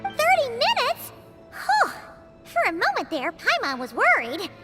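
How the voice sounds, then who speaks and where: Squeaky, very high little fairy companion. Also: female voice, huge pitch swings, a little slow, full character voice. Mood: worried, relieved. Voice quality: squeaky, childlike. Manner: exclamatory, third-person self-reference. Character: small childlike floating fairy companion, excitable, speaks of herself by name. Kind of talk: video game voice acting. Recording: loud background music.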